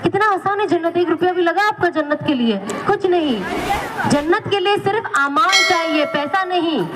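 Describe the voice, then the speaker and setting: An earnest woman gives a religious talk in a high voice and repeats her phrases for emphasis. Her voice rings out with a long hall reverb over faint background noise. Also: normal speed, crowd noise. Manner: earnest, repeats phrases, acts out quoted people. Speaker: religious speaker. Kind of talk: Islamic talk.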